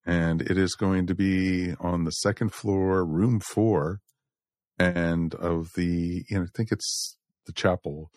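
A man's voice: sits low, fairly even intonation, slow, darkish tone, dry recording, studio quality. A man hosting a podcast, chatting casually and addressing listeners in a folksy way. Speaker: podcast host.

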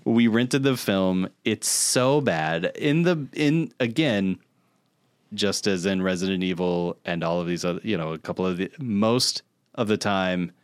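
A man's voice: low voice, lively intonation, a little slow, smooth, no room sound. A man co-hosting a podcast, chatting casually and critiquing with emphatic, mock exasperation. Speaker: podcast co-host. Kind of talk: casual chat about video game movies.

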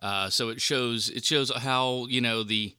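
A man's voice: medium pitch, steady tone, measured pace, pushed and bright, clean tone, no room sound, studio quality. A man hosting a film podcast solo in a casual, rambling, informal and mildly profane way. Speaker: podcast host reviewing films.

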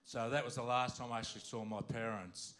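A man's voice: medium pitch, steady tone, a little fast, a bit bright. A man from Wales, sharing his personal story as a guest at a church service, plainly and matter-of-factly. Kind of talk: personal testimony.

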